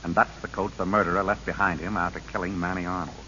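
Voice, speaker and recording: A man's voice: sits low, flat delivery, quick pace, bright, forceful tone. An actor playing an earnest, resolute lawman who speaks sternly and emphatically in a 1940s radio drama. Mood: accusing. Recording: hissy, dull old radio broadcast.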